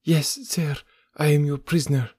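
A weary but proud foreign woman confessing with quiet dignity, as a male narrator puts her on. Her voice sits well above his own and is a little husky. The recording is dry and close. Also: fairly high pitch, little pitch movement, normal speed, no echo, pitched above the performer's own voice.

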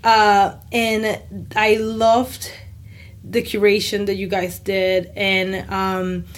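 A woman's voice: medium pitch, flat delivery, very slow. Gushing woman podcast host. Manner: gushing, exclaims often. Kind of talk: scripted intro, then casual interview chat.

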